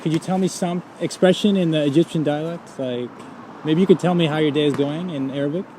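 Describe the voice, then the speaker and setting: Curious street-interview host, a man with a slightly high voice, light traffic behind. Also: fairly quick, mellow, rough recording. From Montreal, Canada. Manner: friendly, curious questioning. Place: busy city street outdoors. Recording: outdoor street with faint traffic noise.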